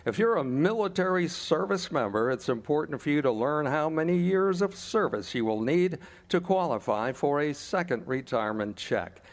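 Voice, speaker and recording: A man's voice: fairly high pitch, lively intonation, moderate pace, few pauses. A man announcing from a script as emcee of a radio-style show.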